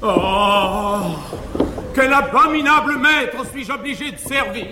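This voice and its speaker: Sganarelle, a shrill grumbling valet. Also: male voice, slow pace. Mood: indignant. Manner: exclaiming, indignant asides. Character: fearful grumbling male valet, comic. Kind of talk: classical stage comedy, live theatre. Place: large theatre stage, recorded from afar. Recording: old live stage recording, reverberant hall, hiss.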